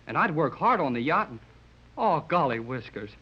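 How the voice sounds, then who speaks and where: An eager, bashful American teenage boy in an old radio serial. His high voice swoops about with gushing, polite earnestness and shy stumbles. The recording is muffled vintage 1930s radio. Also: very animated, normal speed, breathy edge. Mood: eager, pleading. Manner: boyish, earnest, gushing slang, stammers shyly.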